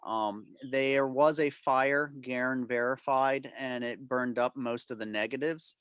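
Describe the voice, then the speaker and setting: A man's voice: mid-range voice, steady tone, a little slow, a bit bright, smooth, no echo. A man answering club members' questions informally on a video call, explaining things in detail.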